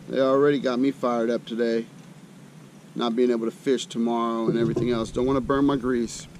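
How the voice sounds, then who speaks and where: A middle-aged American man chatting casually in a folksy, colloquial way, thinking aloud as he demonstrates camp cooking. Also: vocal fry. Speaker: host of an outdoor cooking channel. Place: outdoors. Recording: outdoor recording with loud ambient rumble.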